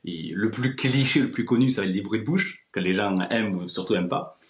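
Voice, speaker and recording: Man, twenties, rapid casual podcast talk, explanatory, roomy echo. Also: fairly low pitch. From France, small village. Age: 20s. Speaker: interview guest, ASMR video creator. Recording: roomy, echoey sound.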